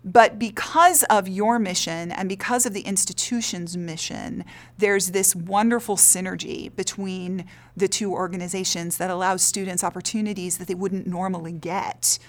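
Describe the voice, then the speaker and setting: Woman, average pitch, theater director, earnest explaining, reflective interview talk. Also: steady tone, normal speed, few pauses. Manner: earnest, explanatory, checks with "right?".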